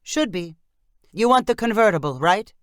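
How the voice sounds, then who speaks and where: A woman narrator voices a female car rental clerk in a storybook scene. She is matter-of-fact and businesslike, with varied pitch and a dry sound. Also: expressive, moderate pace, dry recording, close to an everyday voice.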